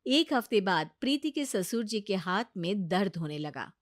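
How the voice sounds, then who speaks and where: Lively woman narrating a story. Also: mid-range voice, normal speed, no echo, studio quality. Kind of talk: expressive scripted narration.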